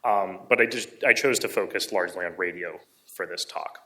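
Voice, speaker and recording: Bright-voiced man giving an earnest talk. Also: deep voice, a little fast. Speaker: conference speaker, amateur radio hobbyist. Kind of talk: public presentation.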